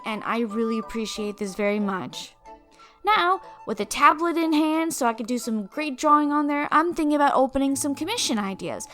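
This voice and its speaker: Casting: young female channel host, high voice, scripted update narration over faint music. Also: fairly bright tone. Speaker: channel host and community leader.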